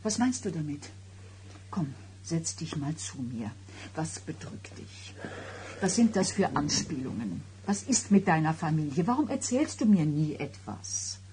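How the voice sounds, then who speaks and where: An actress playing an agitated, suspicious and sarcastic pregnant wife of thirty-nine in a German radio drama, with a biting, questioning delivery. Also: female voice, sits low, sing-song, a little slow, background noise, exaggerated voice. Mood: worried, probing. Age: middle-aged.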